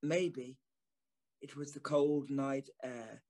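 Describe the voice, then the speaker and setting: Slow, brooding gentleman narrator. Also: mid-range voice, steady tone. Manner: measured, deliberate, brooding. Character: pensive gentleman narrator recounting an eerie ghost tale. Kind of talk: dramatic scripted narration.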